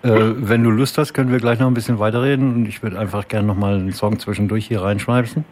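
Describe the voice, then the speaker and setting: A man hosting a radio interview, prompting his guest in a relaxed, conversational way. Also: on the low side, quick pace. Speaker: radio host.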